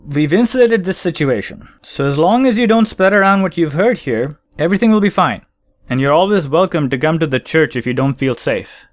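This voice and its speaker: A man playing a composed, reassuring church protector in an audio drama, explaining in a measured way. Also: fairly high pitch, lively intonation, natural voice.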